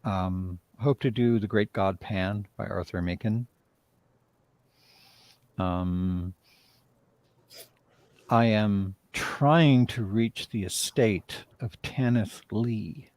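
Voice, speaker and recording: A man's voice: lowish voice, gravelly, a little mellow. A man talking casually as a playwright in a live discussion, rambling and often interrupting himself. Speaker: playwright and director, interview guest.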